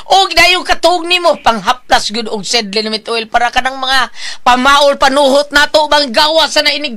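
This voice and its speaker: High, bright-voiced Cebuano woman. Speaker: radio host and product endorser. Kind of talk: conversational ad-lib product endorsement.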